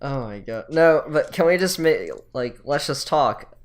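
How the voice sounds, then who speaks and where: A man co-hosting a casual group chat about anime, talking in a halting, insistent way. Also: medium pitch, animated. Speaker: podcast co-host reviewing anime.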